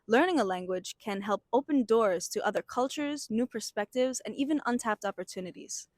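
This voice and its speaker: Female narrator, even scripted read, dry close sound. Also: fairly high pitch, fairly quick, no echo.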